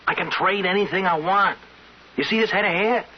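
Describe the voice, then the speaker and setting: An actor playing an intense, pleading young pool hustler in an old-time radio drama, insistent and earnest. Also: male voice, high voice, brisk. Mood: urgent persuasion. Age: young adult. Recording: dull, noisy old radio recording.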